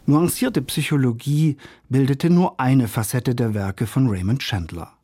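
A man's voice: mid-range voice, measured pace, mellow, breathy edge, no room sound, clean studio sound. A male radio culture critic narrating a scripted review in polished, ornate phrasing. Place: studio.

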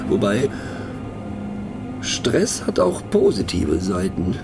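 A man's voice: fairly low pitch, wildly swooping pitch, slow pace, creaky, over music, heavily acted. An actor playing a middle-aged male narrator in a radio play monologue, musing and mocking, thoughtful and hesitant, often turning to question the listener. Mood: confiding, sardonic. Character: musing, mocking male narrator, rambling to fellow train passengers.

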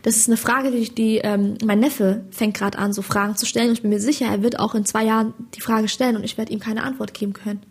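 Husky young Hessian woman, animated and slangy. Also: fairly high pitch, fast, hardly any pauses. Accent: Hessian German. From Hesse, Germany. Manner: animated, slangy, keeps asking "weißt du?". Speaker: stand-up comedian, guest. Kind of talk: casual interview chat.

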